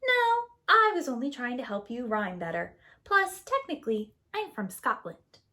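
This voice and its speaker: A woman voicing a cheeky, chatty young boy in a storybook, quick to argue back. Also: fairly high pitch, sing-song, pushed and bright. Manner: cheeky, argumentative.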